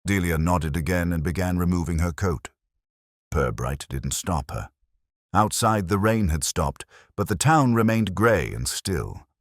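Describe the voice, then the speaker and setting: A man's voice: low-pitched, lively intonation, a little slow, dry recording, studio quality. A man narrating a scripted mystery story as its narrator.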